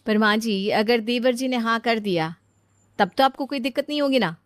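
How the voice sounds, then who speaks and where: A woman's voice: on the high side, normal speed, dry recording, lightly acted. A woman voicing a chatty young daughter-in-law in a cartoon story, coaxing and teasing. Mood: coaxing, eager. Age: young adult. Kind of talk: acted cartoon story dialogue.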